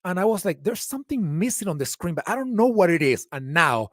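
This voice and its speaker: A man from Venezuela moderating a casual online panel over a video call, chatty and quick to laugh. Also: sits high, very animated, no echo, clean studio sound. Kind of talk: casual webinar hosting.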